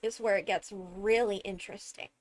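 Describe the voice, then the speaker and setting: A woman giving casual, analytical commentary on a video, animated and emphatic on key words. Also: mid-range voice, moderate pace. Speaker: video host, game lore commentator. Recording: background music behind the voice. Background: music.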